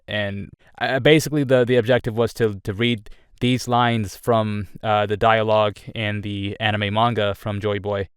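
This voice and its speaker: A man's voice: on the low side, smooth, no room sound, studio quality. A young man giving a candid personal update on his channel, rambling with frequent restarts. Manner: earnest and candid. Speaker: YouTuber.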